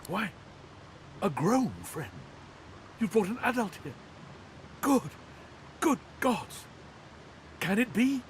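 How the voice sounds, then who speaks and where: A man's voice: high-pitched, very animated, takes it slowly, pauses often, a little hiss or hum, heavily acted. A man playing a thousand-year-old skeleton knight in a fantasy game, anguished and noble, with theatrical, archaic speech. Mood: weary, grudging, then astonished, urgent. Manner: theatrical, archaic, grandiose phrasing. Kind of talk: dramatic fantasy game voice acting.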